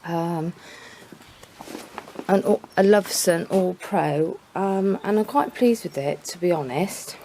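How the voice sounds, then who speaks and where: A woman with a cold, hoarse and croaky, chatting through a casual review with many hesitations, outdoors at a horse yard. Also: lowish voice, a little mellow, a little hiss or hum, rough recording. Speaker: horse owner vlogger.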